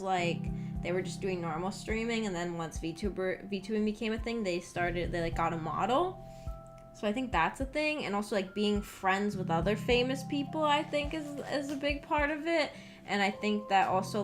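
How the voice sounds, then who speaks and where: Woman chatting casually over prominent background music. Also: medium pitch. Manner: rambling, hesitant, thinking aloud. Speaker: VTuber streamer chatting with viewers. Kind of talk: casual livestream chat.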